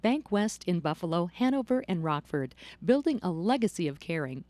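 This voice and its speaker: A woman's voice: on the low side, very animated, moderate pace, a little mellow, no echo. An American woman narrating a scripted radio advertisement as a commercial announcer.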